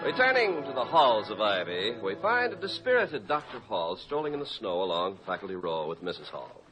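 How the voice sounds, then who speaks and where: Very animated, lowish radio announcer man. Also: moderate pace. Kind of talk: 1950s radio announcing, scripted. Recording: muffled old radio recording with music.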